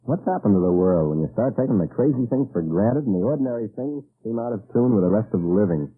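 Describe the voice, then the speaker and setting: An actor playing a weary, desperate Allied agent in an old-time radio drama, narrating in a tense, confessional manner. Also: male voice, on the low side, animated, fast, husky. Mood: weary, reflective. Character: weary, desperate male Allied agent behind enemy lines. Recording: tinny old radio recording, background music.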